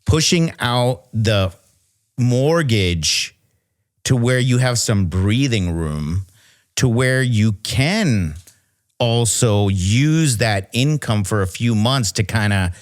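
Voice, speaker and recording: A man's voice: medium pitch, lively intonation, slow. A man co-hosting a podcast in casual chat, rambling and restarting his sentences. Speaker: podcast co-host.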